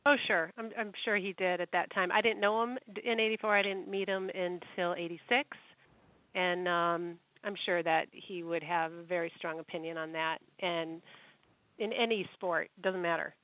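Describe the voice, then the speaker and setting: A middle-aged woman giving a halting, conversational answer on a media conference call. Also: lowish voice, fairly even intonation, no echo. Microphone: telephone. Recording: quiet, muffled, dry conference line.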